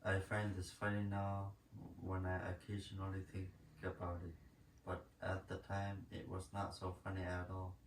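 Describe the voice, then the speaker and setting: A man's voice: low voice, completely flat delivery, normal speed. A man reading an elder's life story aloud as a narrator. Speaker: reader at a school story sharing. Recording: echoey room, distant mic, faint noise.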